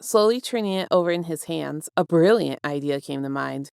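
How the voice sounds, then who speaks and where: A woman reading fiction aloud as an expressive, scripted narration with measured, deliberate phrasing. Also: low voice, vocal fry, smooth, no echo, studio quality. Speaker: fan fiction reader.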